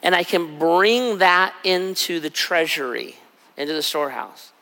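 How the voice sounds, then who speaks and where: A middle-aged man preaches in a relaxed, conversational way, now and then slipping into quick acted-out asides. His voice is raspy and bright, a little higher than most men's. A long reverb from the hall trails behind every phrase. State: raspy from a cold trip. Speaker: pastor. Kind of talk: sermon.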